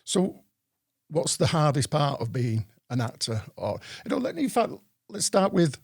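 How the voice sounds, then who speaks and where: Mellow-voiced podcast host. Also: male voice, fairly high pitch, very animated, unhurried, vocal fry, slightly rough. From Greater Manchester, England. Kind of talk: scripted introduction, then casual interview questions.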